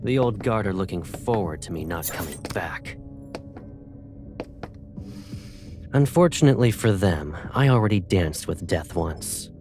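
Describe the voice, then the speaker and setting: A young space-fleet colonel with a very low voice. He is cold and controlled, giving orders with measured, quiet menace in a dramatic game style. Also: lively intonation, music in the background. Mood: cold, threatening. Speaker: voice actor in a game cutscene.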